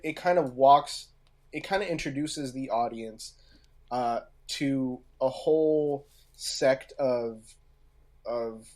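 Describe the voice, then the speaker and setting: Male podcast co-host, medium pitch, unhurried and halting delivery, conversational discussion style. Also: darkish tone. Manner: halting, pausing mid-phrase.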